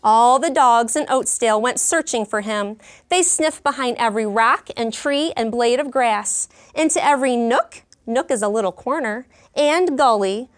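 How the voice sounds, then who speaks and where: A woman in her thirties, a teacher in a classroom, reading a story aloud with clear, expressive delivery and pausing to explain words. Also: a little high. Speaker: kindergarten teacher. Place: elementary classroom.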